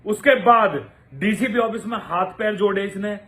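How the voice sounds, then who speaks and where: A man's voice: sits high, normal speed, bright, forceful tone. A man giving informal, accusatory commentary to viewers in crude slang. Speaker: commentator on an online feud.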